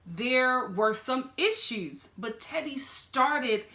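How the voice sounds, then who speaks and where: A young woman with a fairly deep voice giving an animated, sassy reaction review, talking straight at the people she watches. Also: takes it slowly, roomy sound. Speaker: reality TV reviewer. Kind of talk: casual reaction commentary.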